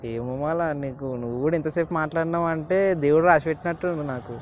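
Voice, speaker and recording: A man's voice: on the high side, racing, slight background noise. A man making a teasing prank phone call. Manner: teasing, cheeky. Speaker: prank caller.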